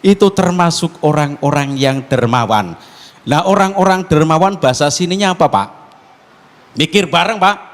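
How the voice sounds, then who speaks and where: High-voiced Tegal Javanese preacher, echoing hall. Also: male voice, expressive, moderate pace. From Tegal, Central Java, Indonesia. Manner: jokey, quizzes listeners with call-and-response questions. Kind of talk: interactive sermon to a congregation. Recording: long hall reverb.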